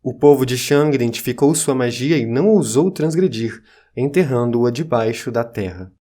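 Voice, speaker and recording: A man's voice: medium pitch, lively intonation, normal speed, dark tone, natural voice. Man voicing a solemn ancient court officer. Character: ancient serpent-transformed Chinese court officer, regretful failed guardian. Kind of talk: scripted first-person testimony narration.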